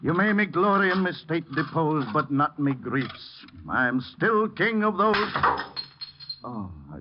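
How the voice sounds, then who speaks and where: A man's voice: on the high side, very animated, slow pace. An actor playing a bitter, down-and-out theatrical man in an old radio drama, mournful and florid in his delivery. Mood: bitterly poetic. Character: broken, bitter, grandiloquent theatrical man fallen on hard times. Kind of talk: 1940s-50s radio drama acting. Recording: dull, faintly noisy old radio recording.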